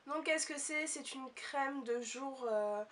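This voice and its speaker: Young woman, high voice, blocked nose from a cold, chatty beauty review, bit far from the mic. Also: slow, fairly bright tone, a little hiss or hum. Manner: chatty, many hesitations. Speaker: beauty vlogger. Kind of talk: casual product review.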